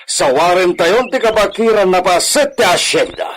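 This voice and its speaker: An actor playing a scheming, treasure-hunting hacienda master in a radio drama, giving orders in a commanding, conspiratorial way. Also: male voice, high voice, expressive, normal speed, fairly bright tone. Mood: excited, commanding.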